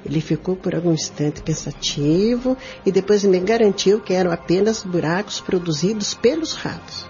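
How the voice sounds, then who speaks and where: A woman's voice: lowish voice, normal speed, lightly acted. A woman playing a practical, reassuring lady's companion who stays unafraid, in a radio drama. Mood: calm, reassuring. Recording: dull radio play recording, loud music.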